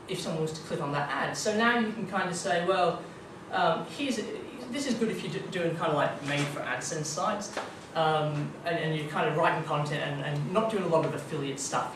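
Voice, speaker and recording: A young Australian man giving a casual, chatty tech talk at a meetup, full of ums and kind-ofs. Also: fairly high pitch. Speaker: guest speaker at a marketing meetup. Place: dim meeting room with projector screen. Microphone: far room camera. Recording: echoey room, distant camera, noisy.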